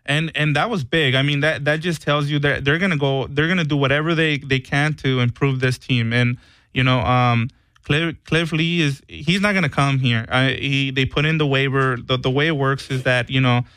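A man's voice: medium pitch, steady tone, moderate pace, fairly bright tone, smooth, no echo, poor audio. A man co-hosting a sports radio show, talking casually with lots of fillers and restarts. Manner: hesitant, many fillers and repeated words.

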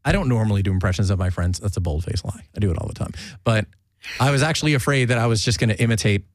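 A man's voice: lowish voice, racing, no room sound. A young man from New Jersey hosting a casual chat, talkative and self-mocking, laughing often. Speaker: podcast host.

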